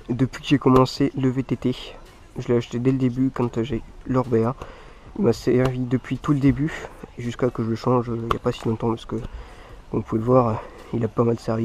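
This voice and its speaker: A man with a cold talks in a nasal, stuffed-up voice at an ordinary pitch for a man. He chats in a loose, rambling way, like a hobby vlogger showing his gear to viewers. There is a faint hiss of noise behind him. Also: darkish tone. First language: French. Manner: rambling, leans on repeated filler phrases. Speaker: mountain bike vlogger. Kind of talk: casual gear showcase talk to viewers.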